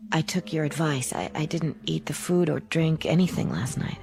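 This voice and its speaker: Casting: tender, faithful woman survivor, lower register with fry, quietly hopeful radio drama style. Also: animated, moderate pace, soft background music. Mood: tender hesitancy.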